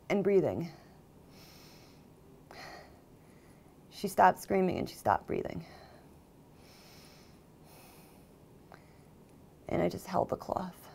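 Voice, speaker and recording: A woman playing a jilted, obsessive character who confesses in a halting, conversational dramatic monologue, with volatile emotion. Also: medium pitch, creaky, a little hiss or hum. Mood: numb, hushed. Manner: halting, emotionally volatile, mimics others' exclamations. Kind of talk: dramatic monologue, conversational first-person storytelling.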